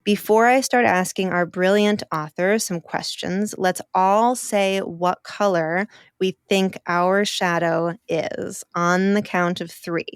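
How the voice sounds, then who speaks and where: A woman hosting a children's podcast, talking to kids in a slow, emphatic, encouraging way. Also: mid-range voice, steady tone, clear, resonant tone, no echo, clean studio sound. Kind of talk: enthusiastic talk to children.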